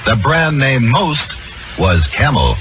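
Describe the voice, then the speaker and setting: A man's voice: medium pitch, sing-song, slow, background noise. A man reading scripted copy as an announcer in an old-time radio commercial. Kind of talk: vintage radio advertising narration, scripted. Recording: muffled old-time radio ad, loud music. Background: loud music.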